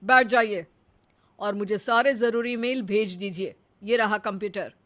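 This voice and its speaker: A woman's voice: medium pitch, fairly even intonation, measured pace, fairly bright tone, close to an everyday voice. A woman voicing an exasperated office boss in a comic cartoon story, formal and trying to stay polite while getting flustered. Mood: firm, impatient.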